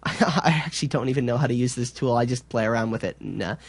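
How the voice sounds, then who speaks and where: A man presenting a software tutorial in a casual, informal way, explaining step by step a little hesitantly. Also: medium pitch, fast talker, dry recording.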